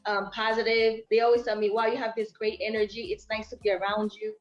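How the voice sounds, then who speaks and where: A woman's voice: a little high, little pitch movement, quick pace, roomy sound, muffled recording, faint music behind. A young woman with a thick Haitian accent, a singer being interviewed, talking in an upbeat, bubbly way with lots of fillers. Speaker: guest singer. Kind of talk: interview conversation.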